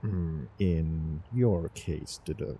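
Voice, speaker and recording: A man playing a doting, obsessive young time traveler in love, in a theatrical romantic audio roleplay with halting, repeated words. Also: a bass voice, dark tone, a little hiss or hum, full character voice. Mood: nostalgic, musing. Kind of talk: over-the-top romantic roleplay audio drama.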